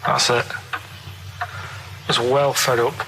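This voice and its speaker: A man's voice: medium pitch, flat delivery, takes it slowly, pushed and bright, poor audio. A man with a Manchester accent chatting casually on radio in a plain-spoken, meandering, colloquial way. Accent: Northern English, Manchester. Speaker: radio show co-host. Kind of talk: rambling casual radio chat.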